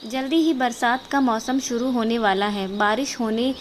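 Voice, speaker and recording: A clever female fox adviser from a children's cartoon, read by a woman in a fairly high voice. She explains respectfully and helpfully, over faint background noise. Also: normal speed, lightly acted. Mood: earnest, advising. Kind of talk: children's cartoon story dialogue.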